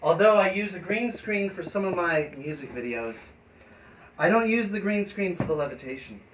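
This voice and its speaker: A man's voice: high-pitched, animated, normal speed. A man hosting an improvised live stream, chatting and explaining things in a rambling, hesitant way. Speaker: live stream host and performer. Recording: noisy live stream with ambient sound.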